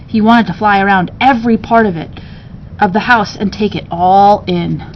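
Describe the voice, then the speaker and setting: A woman narrates a children's book expressively, in a medium-pitched voice. She reads as a storyteller to young listeners. The recording is a little dull, with faint rumbling noise behind her. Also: normal speed. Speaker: story reader.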